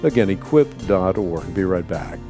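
A man hosting a call-in radio show, answering a caller in a measured, explanatory way with many repeated starts. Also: sits low, lively intonation, music in the background.

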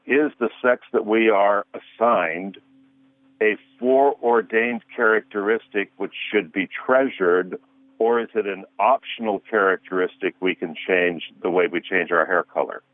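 Man, sixties, deep voice, earnest radio guest, dry tinny phone-line sound. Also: measured pace, no echo. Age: 60s. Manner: earnest, argues through rhetorical questions. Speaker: guest counselor. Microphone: telephone.

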